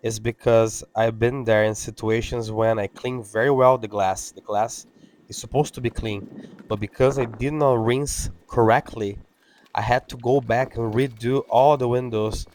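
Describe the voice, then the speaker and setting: A man whose first language is Portuguese, speaking English with an accent as he talks over his window cleaning video, halting and full of fillers. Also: mid-range voice, a little hiss or hum. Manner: halting, many fillers and restarts. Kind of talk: casual talk-over.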